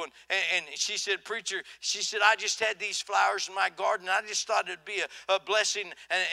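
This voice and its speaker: Older male preacher, folksy storyteller, tense bright tone, lapel mic in a church hall. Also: high voice. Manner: folksy, swings from soft to loud, asks "huh?". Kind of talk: sermon. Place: church auditorium stage.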